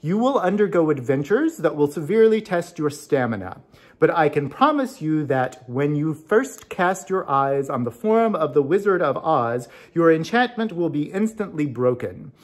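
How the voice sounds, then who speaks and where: A man's voice: on the high side, sing-song, moderate pace. A man voicing a mysterious unseen man in a storybook, emphatic and commanding in manner. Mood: solemn pronouncement.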